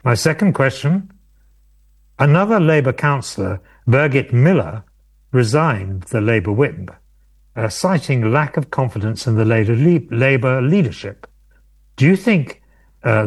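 A man hosting a local radio politics show, presenting candidates in a measured way with occasional hesitations. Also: medium pitch.

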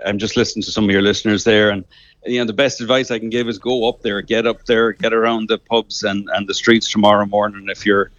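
An Irish man in his thirties phones in to a radio programme, talking casually and stumbling over his words with plenty of fillers and restarts. His voice sits low. The line sounds dull and muffled. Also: dry recording. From Ireland. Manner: hesitant, many restarts and fillers. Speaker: caller.

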